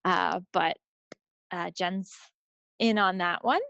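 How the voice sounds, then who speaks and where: A woman's voice: on the low side, slow pace, fairly bright tone, no echo. A woman chatting casually as a friendly podcast co-host, speaking unscripted on slightly lo-fi audio. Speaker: co-host of a knitting and crafts podcast.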